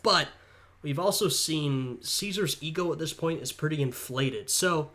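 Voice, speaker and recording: A man with a stuffed-up, congested voice talks casually as he reacts to a history video. He thinks aloud, hedging and musing over each point at an ordinary, middling pitch. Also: bright, forceful tone.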